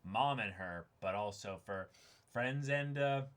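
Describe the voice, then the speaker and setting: A man's voice: fairly low pitch, unhurried, fairly bright tone. A man chatting casually and reacting as co-host of a dramatic reading.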